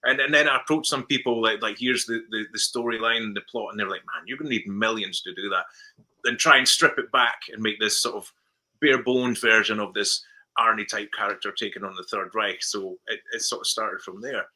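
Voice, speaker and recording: A Scottish man with a very bright, forceful tone tells stories in a relaxed interview. He often stumbles over and repeats words. He slips into the voices of the people he is quoting. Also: fairly low pitch, a little fast, rough recording. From Scotland. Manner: chatty storyteller who repeats words and acts out dialogue. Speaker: film director guest. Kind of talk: casual interview chat.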